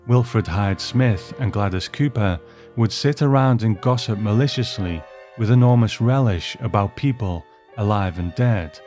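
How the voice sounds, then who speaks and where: A man with a low voice presents scripted commentary on old screen drama. He speaks as a host, chatty and measured, like someone telling a story he has prepared. Soft music plays behind him. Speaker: podcast host. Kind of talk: scripted film and television commentary.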